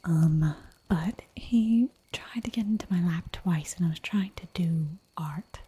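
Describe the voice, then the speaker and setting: Whispering female ASMR streamer, deep, very husky, playful rambling chat. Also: measured pace. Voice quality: soft whisper throughout. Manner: giggly, rambling, playful asides. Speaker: ASMR livestreamer.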